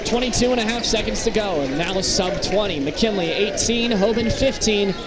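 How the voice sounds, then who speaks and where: A man doing live play-by-play commentary of a basketball game from a high school gym. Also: moderate pace. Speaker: play-by-play announcer. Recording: loud crowd and gym noise. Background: basketball crowd, bouncing balls.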